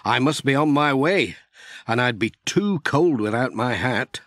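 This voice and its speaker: A man's voice: medium pitch, expressive, a little slow, fairly bright tone, no echo, studio quality. A man voicing a kindly, chatty male village postman in a children's storybook. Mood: gently jovial.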